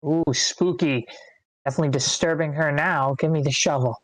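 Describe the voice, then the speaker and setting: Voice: medium pitch, a little fast, dry recording, lightly acted, rough recording. A performer reading a brash eighteen-year-old male content creator in an amateur screenplay table read. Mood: cocky.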